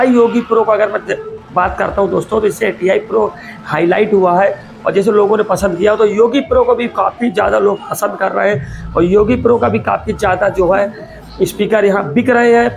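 High-pitched man with a creaky voice. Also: a little hiss or hum, rough recording. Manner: repetitive, rambling, addressing viewers as friends. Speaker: vlogger. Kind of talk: casual product showcase voice-over.

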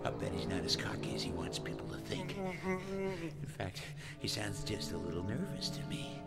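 A blustering, sarcastic smuggler adventurer in a radio drama. His voice is lively in pitch with a gravelly fry, and he wisecracks his way through everything over a loud orchestral score. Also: moderate pace, over loud music. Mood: taunting. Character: cocky, wisecracking, temporarily blind smuggler adventurer. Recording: loud film score behind dialogue.

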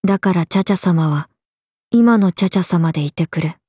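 A woman voicing an earnest, airheaded, stoic young swordswoman in an anime game, speaking in a plain deadpan way. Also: fairly low pitch, normal speed, slightly rough, no room sound, studio quality. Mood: wistful. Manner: deadpan, plain, slightly blunt. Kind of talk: anime game character voice acting.

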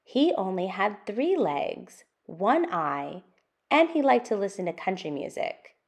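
A woman hosting an interactive children's storytime, reading a picture book aloud with expressive, sing-song, deliberate phrasing. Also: medium pitch.

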